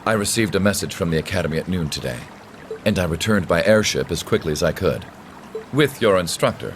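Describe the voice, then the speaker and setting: Deep-voiced, composed nobleman. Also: expressive, a little hiss or hum. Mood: calm authority. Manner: polished, measured formal speech. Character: composed, authoritative young nobleman, courteous with quiet menace. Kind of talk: dramatic video game voice acting.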